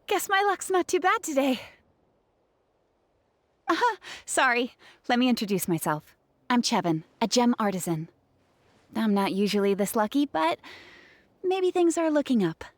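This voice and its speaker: A woman playing an earnest, grateful gem artisan in a video game, telling things in a soft-hearted way. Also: high-pitched, no room sound. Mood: relieved, grateful.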